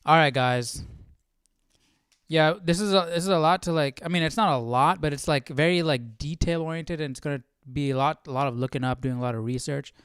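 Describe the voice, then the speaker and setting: A man's voice: fairly high pitch, a little fast, fairly bright tone, clear, resonant tone, dry recording, studio quality. A man hosting a livestream, chatting casually and thinking aloud in a rambling way. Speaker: livestream host of a Bible study series.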